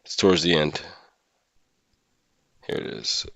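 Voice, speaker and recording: A man's voice: extremely low pitch, lively intonation, measured pace, gravelly, sharp tone, no room sound, whispered. A man who streams games, talking casually and giving his opinions as he thinks aloud. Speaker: live streamer and gaming content creator. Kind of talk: casual livestream discussion of a mobile game.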